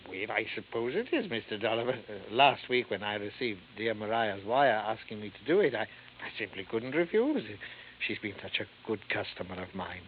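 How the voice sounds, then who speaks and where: A man's voice: lowish voice, big pitch swings, moderate pace, narrow, tinny audio. A prim, nervous little art dealer in a vintage radio mystery. His delivery is fluttery and hesitant, rising and falling a lot, through a thin recording with light hiss. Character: fussy, timid, prim male antique art dealer. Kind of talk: 1940s-50s radio acting.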